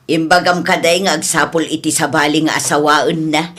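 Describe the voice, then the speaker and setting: A woman playing a frank, teasing older mother-in-law in a radio comedy drama, with an Ilocano accent and expressive interjections. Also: sits low, normal speed. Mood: sympathetic.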